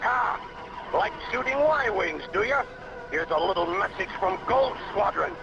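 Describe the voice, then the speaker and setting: An actor playing a cocky, scrappy rebel fighter pilot with a brash, taunting manner, in a video game. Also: male voice, high voice, expressive, measured pace, vocal fry, exaggerated voice. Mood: cocky, defiant. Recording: dull lo-fi game audio under loud music.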